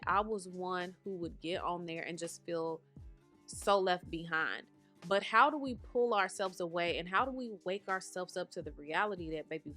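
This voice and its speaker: A woman's voice: fairly low pitch, pushed and bright. A woman in her thirties hosting a podcast from home, talking casually and calling listeners honey and baby, a little nasal and congested today. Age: 30s. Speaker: podcast host.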